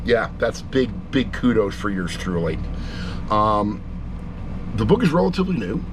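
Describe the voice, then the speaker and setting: A man's voice: fairly low pitch, sing-song, normal speed, noisy background. A middle-aged man giving a casual, rambling review, with blunt, jokey asides. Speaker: audiobook reviewer.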